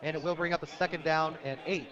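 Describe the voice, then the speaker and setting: An American man with a high voice announces a football game live on the radio. He works as the play-by-play caller, following the action as it happens. The broadcast sound is dull and lo-fi. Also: fairly even intonation, fast, crowd noise. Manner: reads sponsor plugs between plays.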